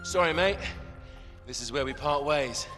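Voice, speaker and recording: A British actor playing a smug, sardonic thief with a dry, teasing, self-assured delivery in a video game cutscene. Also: male voice, fairly high pitch, unhurried, natural voice. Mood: coldly triumphant. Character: smug, sardonic male thief with a sly streak. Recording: cinematic music behind the voice.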